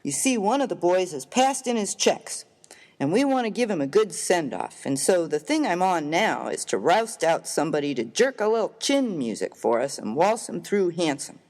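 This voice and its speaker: Man playing a rough, animated Western miner, echoing hall. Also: sits high, moderate pace. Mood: earnest, slangy. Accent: American. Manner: folksy, slangy, emphatic, expressive. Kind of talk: dramatized reading of a humorous story. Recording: reverberant hall-like room.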